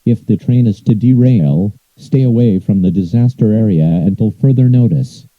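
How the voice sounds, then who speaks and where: A man's voice: lowish voice, moderate pace, no room sound, phone-quality sound. A man reading scripted emergency alerts as an announcer, in a formal, impersonal, synthetic-sounding delivery.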